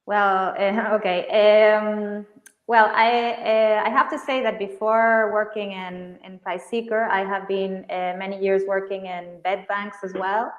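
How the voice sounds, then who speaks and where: A woman's voice: mid-range voice, steady tone, unhurried. A woman answering a question on an online panel, hesitant with many fillers. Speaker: panelist, project and sales manager. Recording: dull roomy lo-fi audio.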